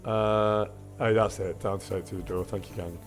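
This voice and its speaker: A man streaming a game with casual, self-deprecating commentary, in a husky voice, chatting with his viewers. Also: lowish voice, fairly even intonation, moderate pace, soft background music.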